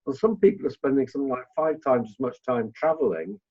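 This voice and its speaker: A man's voice: mid-range voice, lively intonation, fast, darkish tone. A man co-hosting a conversational podcast, rambling through his own opinions before putting his question. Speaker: podcast co-host. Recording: dull, lo-fi connection.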